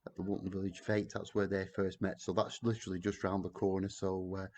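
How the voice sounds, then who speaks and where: A man's voice: low-pitched, level tone, a little fast, darkish tone, slight background noise. A middle-aged man with a Lancashire accent, chatting casually and joking with viewers on a live stream from his back garden. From Blackburn, Lancashire, England. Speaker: tour guide hosting a live chat.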